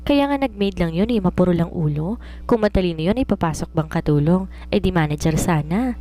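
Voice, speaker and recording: A woman's voice: fairly low pitch, expressive, a little fast, mellow, close to an everyday voice. A woman voicing an amused, teasing young woman friend with a snobbish, dismissive manner in a story. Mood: amused, mocking.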